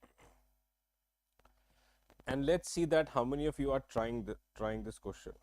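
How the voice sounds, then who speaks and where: A man's voice: mid-range voice, normal speed, a little mellow. A young man with an Indian English accent teaching exam-prep math in a live online lesson. He talks in a chatty way, repeats himself and often checks whether viewers are following. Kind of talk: live-streamed whiteboard lesson. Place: indoor studio at a large screen.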